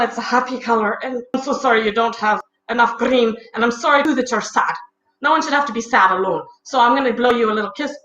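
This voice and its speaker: A woman doing a gentle, kindly frog. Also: a little high, roomy sound, muffled recording, natural voice. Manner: soothing, kindly.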